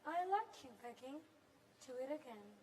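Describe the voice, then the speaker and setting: A young woman playing a proud, vengeful dark fairy sorceress on stage, slow and grandly mocking. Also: high voice, sing-song. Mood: cold command. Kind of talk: theatrical school stage play acting. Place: large hall stage. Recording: noisy school hall, long echo, distant.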